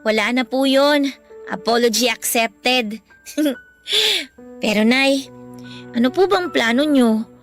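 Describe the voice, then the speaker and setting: A young Filipino daughter in a radio drama, with a high voice. She is polite, earnest and devoted, a little sulky, with music playing behind her. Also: slow pace, long pauses. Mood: contrite, worried.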